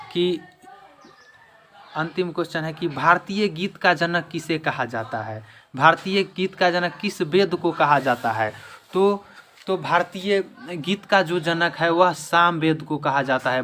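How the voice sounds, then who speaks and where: A man's voice: a little high, sharp tone. A man giving a quiz lesson as an exam-prep teacher, restating key points with emphasis. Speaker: exam-prep teacher and video channel host. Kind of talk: quiz lesson, explaining question and answer. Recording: clear background noise.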